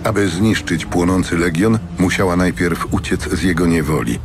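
A man narrating a dramatic fantasy audiobook from a script in a measured, solemn manner. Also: low-pitched.

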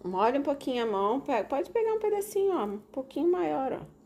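A Brazilian mother with a slightly high voice, calmly talking her children through each step of a simple recipe. She speaks in a coaxing, gently teasing way, filmed on a phone in a home kitchen with faint noise around her. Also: fairly quick. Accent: Brazilian Portuguese. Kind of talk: casual family cooking video.

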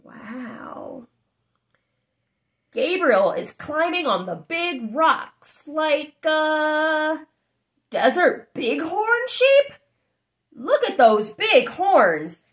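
A woman reads a children's picture book aloud at home, her voice high and bright. She takes her time, swooping up and down with lots of expression, and speaks straight to young listeners with little asides. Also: drawn-out words. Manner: animated, exclamatory, child-directed with asides. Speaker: storybook narrator. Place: living room, indoors.

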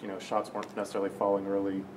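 A man reporter asking a question at a press conference, quick and flat. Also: low-pitched. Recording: distant voice off mic, noisy room.

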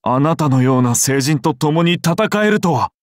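An actor playing a devout, courteous Byzantine emperor in a game, speaking formally and reverently. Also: male voice, on the high side, normal speed, no room sound, studio quality, natural voice. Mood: reverent, earnest. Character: solemn, devout, courteous Byzantine emperor, humble and self-doubting. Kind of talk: scripted game character dialogue.

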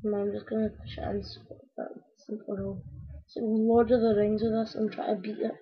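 A woman with a croaky voice, rambling casually to her viewers as she builds a toy step by step. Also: mid-range voice, level tone, brisk. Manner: rambling, talks to viewers while working with hands. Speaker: video maker building a toy. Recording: dull lo-fi home recording.

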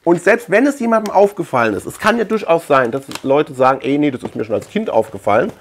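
A man's voice: on the high side, lively intonation, quick pace, slight background noise. A man chatting casually as a video host, rambling colloquially and repeating words for emphasis. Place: small room at home lined with shelves.

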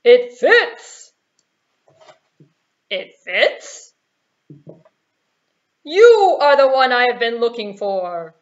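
A woman's voice: on the high side, big pitch swings, very slow, pauses often, exaggerated voice. A woman voicing an earnest, eager young prince in a puppet show, on a dull recording. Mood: declaring.

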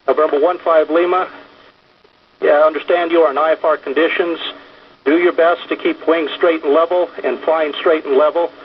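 Higher-voiced male controller on muffled radio. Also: steady tone, moderate pace. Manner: procedural, directive. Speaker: air traffic flight service controller. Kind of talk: radio instructions to a pilot. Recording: muffled, hissy air traffic radio.

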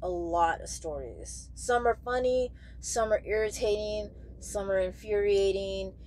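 A young woman talking casually and candidly to camera in a halting way, searching for words as she goes. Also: mid-range voice, a little mellow, slight background noise. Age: young adult. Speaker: truck driver vlogger. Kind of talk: casual vlog talk to camera. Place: small padded truck sleeper bunk, indoors.

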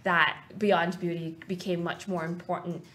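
A woman's voice: lowish voice, flat delivery, normal speed, a bit bright. A young woman from Canada in her late twenties, a studio interview guest speaking at a desk mic, earnest and reflective, rambling with fillers. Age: 20s. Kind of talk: casual interview chat. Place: small TV studio set. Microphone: desk mic on stand.

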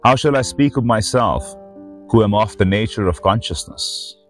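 A man speaking in a solemn, measured way as a spiritual host reading sacred verse. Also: on the low side, soft background music. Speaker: spiritual teacher and reader, host of the series. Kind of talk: slow devotional reading of sacred verse with short host remarks.

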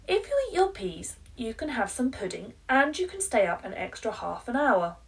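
A woman's voice: a little high, very animated, normal speed, gravelly, recorded from a distance, pitched above the performer's own voice, low-quality recording. A woman voicing an exasperated, pleading mum from a children's picture book, piling up promises emphatically to bargain with her child. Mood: coaxing. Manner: breathless piled-up promises, emphatic.

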